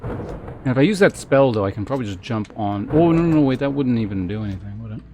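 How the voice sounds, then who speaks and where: A man's voice: mid-range voice, expressive, quick pace, a little mellow. A man casually commentating on a video game live, thinking aloud in a hesitant way as he chats with viewers.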